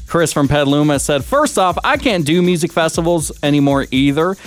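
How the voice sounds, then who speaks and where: A man's voice: on the high side, animated, a little fast, soft background music. A man co-hosting a morning radio show, easygoing and jokey, laughing often. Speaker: radio co-host. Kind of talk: casual morning radio banter.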